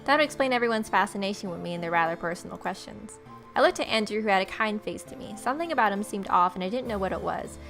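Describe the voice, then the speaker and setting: A woman narrates a visual novel in a medium-pitched voice. She reads the story as a gaming host, slipping in playful asides, with faint music behind her. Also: animated, quick pace, creaky, fairly bright tone.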